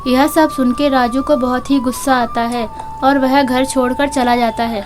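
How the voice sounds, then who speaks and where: A woman narrates a children's moral tale in a high voice that stays very level. She reads from a script as a storyteller, with music playing underneath. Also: steady tone, normal speed. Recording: music behind the voice. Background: background music.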